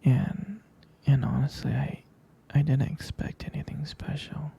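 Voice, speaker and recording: A man speaking in a breathy, husky whisper, tender, soothing and halting, as a boyfriend in a bedtime ASMR roleplay, close to the microphone. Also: medium pitch, steady tone, warm tone. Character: tender, protective boyfriend lulling partner to sleep.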